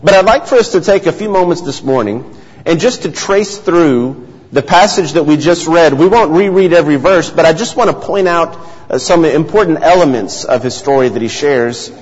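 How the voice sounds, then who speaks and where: Pastor preaching in a reverberant hall. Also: male voice, on the high side, expressive, breathy edge. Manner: storytelling, measured and earnest. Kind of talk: sermon. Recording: dull sound, long hall reverb.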